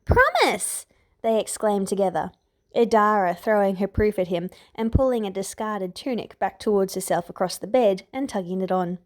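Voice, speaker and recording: Woman narrating a story, mid-pitched voice. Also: normal speed, a little mellow. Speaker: podfic author and narrator. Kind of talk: scripted fan fiction narration.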